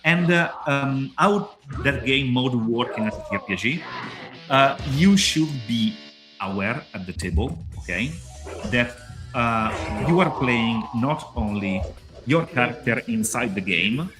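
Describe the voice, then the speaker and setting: Game designer, male, Italian accent. Medium-pitched, halting and repetitive casual explainer, with music playing behind him. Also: expressive. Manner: halting, repetitive, punctuated with "okay".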